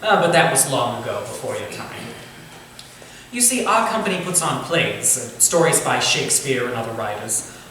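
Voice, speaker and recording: An actor playing a genial, courtly Victorian gentleman writer with a formal, eloquent, theatrical way of talking, in a staged reading. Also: mid-range voice, sing-song, moderate pace. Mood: genial, proud. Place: indoor staged reading, distant microphone. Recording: very echoey room from far off, faint noise.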